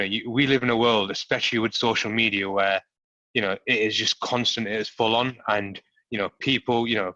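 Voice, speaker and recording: A quick-talking man with a Middlesbrough accent, his lowish voice bright and crisp but held to a monotone. He hosts a chat over a video call, earnest and full of filler phrases. The sound is dry and dull, like lo-fi call audio. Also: no echo. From Middlesbrough, England. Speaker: host.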